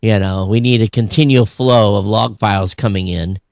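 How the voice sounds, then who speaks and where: A man's voice: on the low side, fairly even intonation, normal speed, gravelly, darkish tone, no room sound, clean studio sound. A man hosting a podcast in casual, emphatic discussion, often voicing both sides of an imagined exchange. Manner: emphatic, acts out imagined dialogue. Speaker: podcast host.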